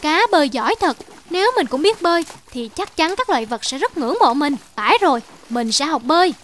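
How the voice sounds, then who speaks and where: A woman's voice: high voice, lively intonation, brisk, fairly bright tone, slight background noise. A woman voicing a lonely, naive donkey in a cartoon fable, plaintive and earnest. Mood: admiring, eager. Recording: music under the voice.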